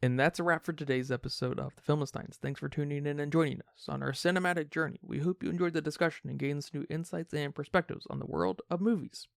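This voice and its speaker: A man's voice: a little high, fairly even intonation, fast talker, gravelly, few pauses, no room sound, studio quality. A man hosting a film review podcast in a casual, conversational way. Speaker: podcast host.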